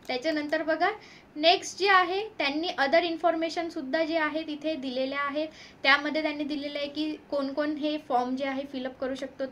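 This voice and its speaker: Indian female coaching instructor, high voice, explanatory read-through with mixed-language terms, faint background noise. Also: fairly bright tone. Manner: code-switches between Marathi and English terms. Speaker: exam coaching teacher. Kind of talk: explanatory lesson, reading notification points aloud.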